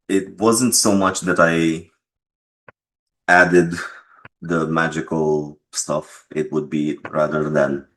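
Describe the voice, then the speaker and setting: Really really deep voiced guy, game dev being interviewed, explains stuff casually. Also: fairly bright tone, poor audio.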